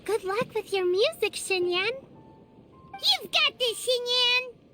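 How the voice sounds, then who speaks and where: A very high, sweet little girl, cheerful and excitable like a video game companion. Her pitch swings widely, with music clearly behind her. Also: slow, exaggerated voice. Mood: excited.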